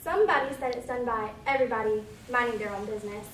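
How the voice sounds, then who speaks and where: A woman's voice: fairly high pitch, quick pace, natural voice. A woman playing Alice as a polite, curious young girl in readers theater, in a childlike, musing manner. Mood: polite, puzzled. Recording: echoey room, distant mic, noisy.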